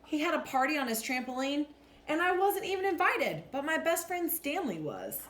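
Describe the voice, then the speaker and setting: Expressive woman storyteller with lively pitch. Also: normal speed. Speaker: teacher reading a picture book aloud. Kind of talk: children's storybook read-aloud. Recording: roomy echo with faint background noise.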